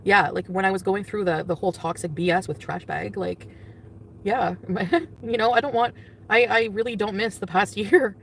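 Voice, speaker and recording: A woman's voice: medium pitch, normal speed, gravelly. A woman vlogging and chatting with her viewers, rambling with lots of fillers and frequent laughter. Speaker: vlogger in the clip being reacted to. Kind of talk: casual vlog and live-stream chat. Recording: distant, noisy clip audio.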